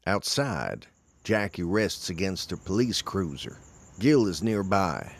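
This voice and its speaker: A man acting a grim, guilt-ridden dead man who narrates a scripted audio drama in a slow, brooding, deliberate way. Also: low-pitched, sing-song, full character voice. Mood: brooding narration. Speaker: narrator and in-story character. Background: music.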